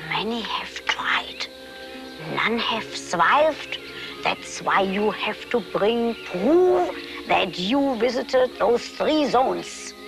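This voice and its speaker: An actress playing an eccentric, blunt, moody mystic guide, with a dramatic, drawn-out delivery in a comic fantasy style. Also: female voice, on the high side, animated, vocal fry, exaggerated voice. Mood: ominous. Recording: music behind, VHS tape source.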